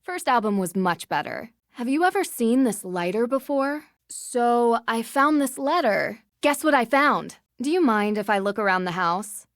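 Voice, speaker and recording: A woman voicing an earnest, inquisitive young American detective in scripted video game dialogue, speaking politely and clearly with persistent questions. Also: a little high, expressive, normal speed, few pauses, dry recording, studio quality. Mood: curious, composed. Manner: polite, probing, clearly articulated.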